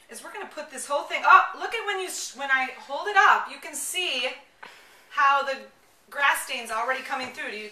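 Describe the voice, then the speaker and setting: A woman's voice: sits high, pushed and bright, slight background noise. A woman in her thirties presenting a casual home product demonstration, enthusiastic and chatty as if with children. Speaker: mom blogger presenter. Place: home kitchen, indoors. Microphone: camera a few steps away. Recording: roomy, distant camera microphone.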